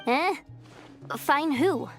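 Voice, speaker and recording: High-pitched, bubbly young assistant. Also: female voice, animated, extremely slow, faint music behind, heavily acted. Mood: playful protest. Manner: bubbly, informal, exclamatory. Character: chirpy, naive young female treasure-hunting assistant. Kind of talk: video game voice acting.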